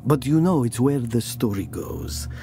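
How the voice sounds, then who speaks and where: An actor playing a brooding killer who confesses his dark tale in a theatrical horror audio drama, deliberate and given to whispers. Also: mid-range voice, big pitch swings, gravelly, slight background noise. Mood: dark, intense. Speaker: narrator of an audio horror story. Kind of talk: dramatic first-person storytelling, theatrical.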